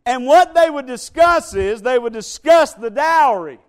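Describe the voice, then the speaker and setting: A man preaching a sermon, swinging between loud build-ups and quiet, low appeals to the congregation. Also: lively intonation, a little slow.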